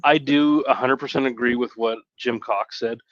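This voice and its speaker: A man with a mid-pitched voice talks casually on a live stream. He is halting and rambling, often correcting himself as he goes. The sound is dry and a little dull and lo-fi. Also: steady tone, fairly bright tone, no echo.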